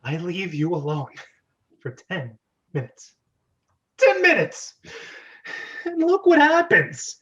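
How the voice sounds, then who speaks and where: A man's voice: high voice, wildly swooping pitch, takes it slowly, pauses often, bright, forceful tone, exaggerated voice. A man reading the part of an indignant plumber ranting at his brother in a script read-aloud. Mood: exasperated, scolding. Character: exasperated adult male plumber, scolding his brother. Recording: dull, lo-fi sound.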